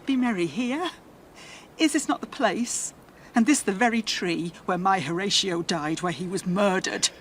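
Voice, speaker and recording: A woman's voice: mid-range voice, unhurried, a little hiss or hum, close to an everyday voice. A female actor with a British accent playing a sorrowing, anxious wife and bereaved mother in a classical radio drama, pleading and plaintive. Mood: grieving.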